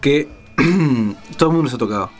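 A man chats casually as a music radio host. He speaks in a halting way, doubling back on phrases, at a middling pitch. Faint music plays behind him. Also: lively intonation.

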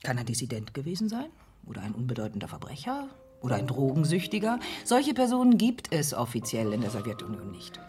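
A woman's voice: very deep voice, wildly swooping pitch, a little fast, warm tone, faint music behind, heavily acted. An actress playing a brash, flirtatious woman of fifty in a radio drama, world-weary, teasing and sardonic. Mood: dry, sardonic. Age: middle-aged.

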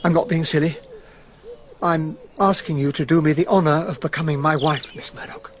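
Radio play: male actor as earnest English officer, polite, slightly stuffy, lightish pitch, muffled noisy sound. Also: fairly even intonation, moderate pace, close to an everyday voice. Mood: earnest, affronted. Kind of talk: radio drama acting. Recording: muffled radio recording with clear background noise.

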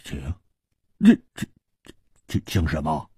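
A man voicing a boisterous, rough male swordsman who stammers, shouts and laughs in high bursts. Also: wildly swooping pitch, takes it slowly, no echo, clean studio sound, full character voice. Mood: baffled.

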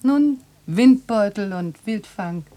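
A middle-aged mother in a classic radio drama. Her voice is soft-edged and dark, at an ordinary pitch. She is composed, sceptical and indulgent. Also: lively intonation, slow. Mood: mildly stern. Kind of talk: German literary radio play acting.

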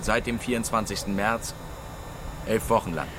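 A man's voice: fairly low pitch, normal speed, noisy background, lightly acted. A man playing a defiant captive pilot. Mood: flat recounting. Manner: clipped, insistent, defensive. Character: captive German male air force pilot, stubborn and defiant. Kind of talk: radio drama acting.

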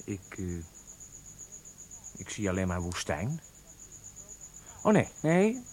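Radio drama: canny, curious visitor, rough-edged husky voice, hugely swinging intonation, politely probing and hesitant. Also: male voice, low-pitched, wildly swooping pitch, takes it slowly, a little mellow, dry recording, heavily acted. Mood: hesitant, observing. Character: curious, canny male visitor flattering his host. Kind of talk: Dutch radio drama acting.

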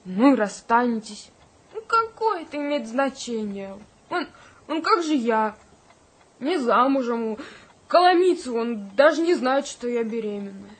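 An actress playing a pregnant young woman in a radio play, a blunt, exasperated but caring friend, chiding and insistent in a slightly husky voice. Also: a little high, big pitch swings, a little slow. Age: young adult. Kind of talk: naturalistic radio play acting.

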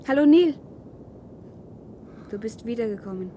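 An actress playing a calm, earnest woman living free in a wasteland, in a 1970s German radio play. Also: fairly high pitch, measured pace, background noise. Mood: calm, sad.

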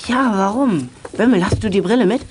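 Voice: mid-range voice, a little fast, breathy edge, close to an everyday voice. A performer playing an inquisitive, friendly child detective sidekick in a children's radio play. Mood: curious.

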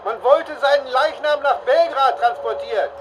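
A woman's voice: sits high, moderate pace, close to an everyday voice. A woman playing a matter-of-fact police commissioner reporting from a noisy roadside in a crime radio drama. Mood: brisk, matter-of-fact.

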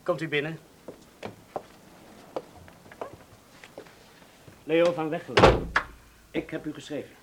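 An actor playing a courteous, grave man in hiding in a Dutch radio drama, speaking formally and deliberately with a Netherlands Dutch accent. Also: a little high, fairly even intonation, very noisy, close to an everyday voice. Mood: courteous, subdued.

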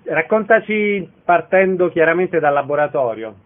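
A man's voice: a little high, expressive, normal speed. A man hosting a radio interview show, asking questions and making announcements in an orderly, formal way. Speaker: radio host. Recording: narrow, phone-like radio sound.

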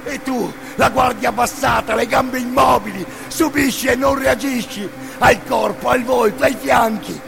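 A man performing an intense theatrical monologue, narrating in emphatic short phrases with a hoarse, gravelly voice. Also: extremely high pitch, steady tone, a little slow, few pauses, music in the background. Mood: shouted. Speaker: stage actor narrating a monologue. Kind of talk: dramatic second-person theatrical narration.

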